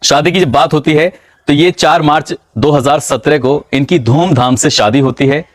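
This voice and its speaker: A man narrating a true-crime story in a measured, dramatic storytelling manner. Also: on the high side, no room sound.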